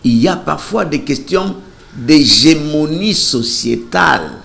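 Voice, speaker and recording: A man's voice: fairly high pitch, lively intonation, gravelly, a bit bright. A man explains as an interviewed expert, in an emphatic, professorial manner. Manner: deliberate, emphatic, professorial phrasing. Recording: faint ambient background noise.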